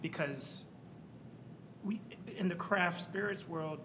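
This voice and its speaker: A man's voice: high-pitched, slow, noisy background. A man from a craft distillery who talks in a blunt, swaggering way and acts out quotes in casual chat.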